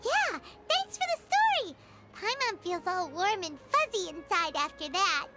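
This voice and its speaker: A tiny game fairy with an extremely high, squeaky child's voice. The delivery is excitable and impatient, swinging widely in pitch, over loud background music. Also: female voice, a little slow, full character voice. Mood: cheerful. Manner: exclamatory, speaks of self in third person. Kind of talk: video game character acting.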